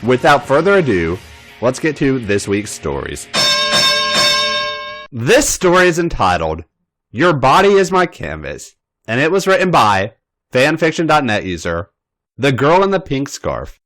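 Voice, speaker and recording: A man hosts a podcast and reads stories aloud in a scripted narration. His pitch is middling but moves in wide, animated swings. Faint music plays behind him. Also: measured pace. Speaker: podcast host and story reader.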